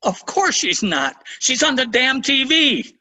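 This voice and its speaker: A man's voice: very high-pitched, sing-song, measured pace, bright, forceful tone, exaggerated voice. An actor in a staged reading playing a wry, laid-back grandfather over sixty. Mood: exasperated. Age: older. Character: grumbling grandfather, dry and easygoing. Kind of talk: virtual staged play reading.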